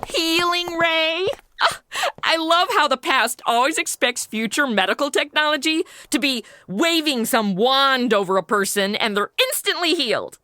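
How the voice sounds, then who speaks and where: Snarky young woman, high and bright. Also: animated, moderate pace, no echo, studio quality. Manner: sarcastic, snarky asides. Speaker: lead comic character. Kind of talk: comedy audio drama acting.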